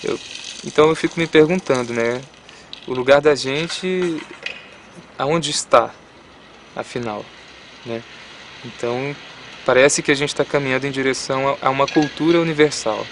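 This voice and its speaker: Um homem de Goiás, sem sotaque marcado, falando com calma e se questionando numa entrevista. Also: male voice, mid-range voice, moderate pace, long pauses, a little hiss or hum. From Goiás, Brazil. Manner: calm, musing, asks himself questions. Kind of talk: reflective interview.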